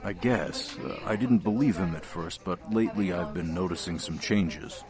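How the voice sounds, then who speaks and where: Radio play bit part: a man plays an uneasy, low-voiced patient, heard at a distance over diners. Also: normal speed, a crowd in the background, lightly acted. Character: worried male poisoning victim, subdued.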